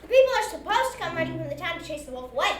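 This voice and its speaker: Woman playing a very high-voiced naive shepherd boy. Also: sing-song, rapid-fire. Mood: hesitant. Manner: hesitant, naive. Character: bored, gullible, mischievous young shepherd boy. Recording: distant, echoey room with music behind.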